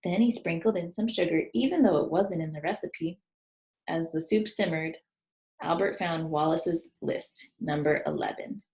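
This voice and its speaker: A woman reads a children's picture book aloud in a voice a little lower than average. Her delivery is expressive, and she breaks from the story to ask questions and point out the pictures. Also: normal speed, poor audio. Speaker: storybook reader, teacher.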